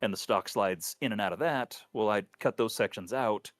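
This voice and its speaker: A man's voice: fairly low pitch, normal speed, dry recording, faint music behind. Host, aging man, easygoing shop-talk, dry close mic. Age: older. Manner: friendly, wry, talks shop about hobby builds. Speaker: podcast host. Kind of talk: casual podcast chat.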